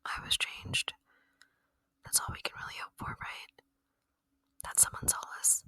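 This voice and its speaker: Asmr person doing a soft lonely alien, all whispered, bright airy hiss, tender and reflective roleplay. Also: a little slow, no echo. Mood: wistful, soothing. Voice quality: whispered, breathy. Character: gentle, wistful, lonely ancient alien visitor.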